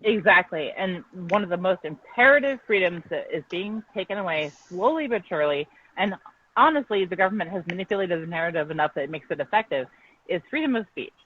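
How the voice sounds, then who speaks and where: A woman's voice: fairly low pitch, lively intonation, brisk, slight background noise. Outspoken woman on a lo-fi call. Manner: outspoken, emphatic. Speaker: guest journalist. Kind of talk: online show interview.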